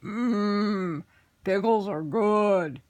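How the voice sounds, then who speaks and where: A woman voicing a big, fat, greedy male goblin who shouts and wails in a storybook reading. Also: medium pitch, very slow, no room sound. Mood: whiny. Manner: shouting, wailing.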